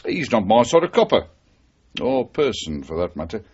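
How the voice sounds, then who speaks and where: Ex-policeman: cynical and wary, breathy husky tone, wry radio-drama delivery, slightly muffled broadcast. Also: fairly low pitch, very animated, normal speed, a little mellow. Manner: guarded, wry. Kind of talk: 1980s radio drama acting.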